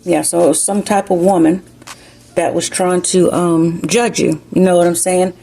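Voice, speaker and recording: A woman's voice: on the low side, flat delivery, moderate pace, vocal fry, mellow, faint music behind. A woman from New Jersey, raised in the South, with a slight Southern country accent, chatting casually through a spiritual card reading and often asking "you know what I'm saying?". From New Jersey, raised in South Carolina. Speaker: tarot card reader.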